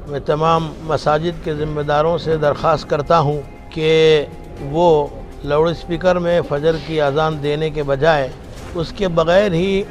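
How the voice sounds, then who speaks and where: A man, a cleric giving a formal statement in measured, halting phrases. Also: fairly high pitch. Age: 60s. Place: indoor meeting room with others seated. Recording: faint room noise behind the voice.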